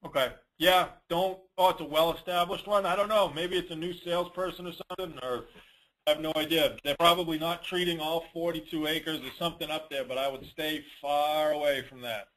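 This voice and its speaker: A man's voice: on the high side, steady tone, brisk, fairly bright tone, rough recording. A man hosting an informal question-and-answer call, giving advice in a blunt, colloquial way and repeating points for emphasis. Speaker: host of a dealer network conference call answering questions. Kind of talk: informal Q&A, advising.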